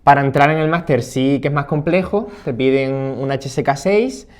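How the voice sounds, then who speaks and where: A man's voice: medium pitch, normal speed. A young man in his twenties, a student of interpreting, chatting casually and hesitantly with many fillers and restarts. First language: Spanish. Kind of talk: casual conversational chat.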